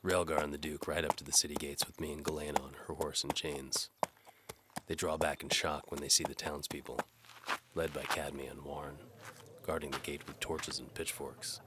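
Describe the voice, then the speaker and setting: A man's voice: extremely low pitch, level tone, normal speed, very noisy. A man narrating a fantasy audio drama in the first person, as a dramatic, hard-boiled storyteller. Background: music.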